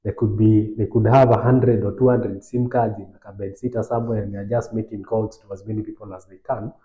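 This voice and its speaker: A man's voice: fairly low pitch, little pitch movement, a little fast, reverberant, narrow, tinny audio. A man on a podcast telling a personal story in a casual, anecdotal way, now and then dropping in Swahili phrases. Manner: anecdotal, light laughs, mixes in Swahili phrases. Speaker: podcast host.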